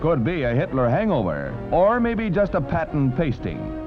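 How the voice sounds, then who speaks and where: A man's voice: fairly high pitch, huge pitch swings, moderate pace, muffled recording. An American man reading a scripted 1940s newsreel narration in a dramatic, declamatory style over a vintage soundtrack. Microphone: studio voice-over. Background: loud orchestral music.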